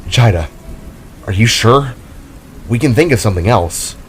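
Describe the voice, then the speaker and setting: A man's voice: mid-range voice, lively intonation, a little slow, a little hiss or hum, lightly acted. A man voicing an anxious, babbling hero in an audio drama. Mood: concerned. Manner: babbling, flustered. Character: anxious self-composed spark hero, a blaster-armed blue boy.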